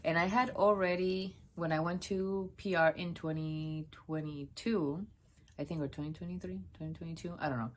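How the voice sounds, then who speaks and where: Low-voiced chatty woman from Puerto Rico. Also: fairly even intonation, moderate pace, slight background noise. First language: Spanish. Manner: rambling, self-correcting, chatty. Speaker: book vlogger. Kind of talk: casual talk to camera. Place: home office, sitting on the floor.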